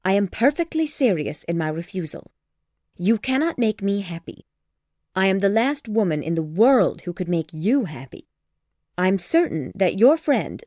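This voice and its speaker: A woman's voice: mid-range voice, darkish tone, dry recording, studio quality, close to an everyday voice. A woman voicing a spirited young woman who firmly and earnestly refuses a suitor. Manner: firm, earnest, exasperated. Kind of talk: storybook character voice.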